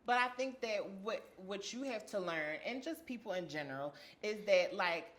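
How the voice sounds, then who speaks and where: A woman's voice: mid-range voice, animated, normal speed, fairly bright tone. A woman interviewing casually on a podcast, speaking in an encouraging, conversational way.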